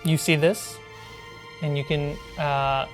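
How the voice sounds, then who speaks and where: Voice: mid-range voice, little pitch movement, slow pace, soft background music. A game master narrating a tabletop role-playing game to the players.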